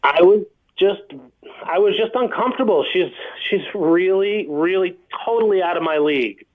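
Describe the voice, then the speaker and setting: A man's voice: sits high, moderate pace, dry recording. A man talking hesitantly and self-consciously as a phone-in guest on a casual radio show. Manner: awkward, hesitant, self-deprecating. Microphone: phone call. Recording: muffled phone-line sound.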